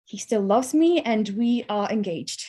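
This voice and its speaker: A woman playing a witty, spirited young gentlewoman in a staged reading of a Regency romance, arch and composed. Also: medium pitch, lively intonation, unhurried. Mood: happy, sincere. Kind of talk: staged reading of a period drama.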